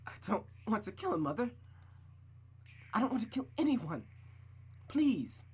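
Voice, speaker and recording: A woman's voice: on the high side, sing-song, a little slow, husky, voice pushed up, full character voice. A woman voicing a frightened young daughter in a visual novel, stammering and pleading. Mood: scared pleading.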